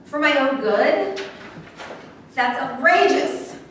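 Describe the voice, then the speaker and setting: Indignant widow, high and echoey woman acting. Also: lively intonation, slow, a bit bright, full character voice. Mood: angry, hurt. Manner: indignant, accusing. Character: angry, wounded widow confronting husband's killer. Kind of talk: staged play reading. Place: echoey room at a staged reading, far microphone. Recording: very echoey room, distant mic, faint noise.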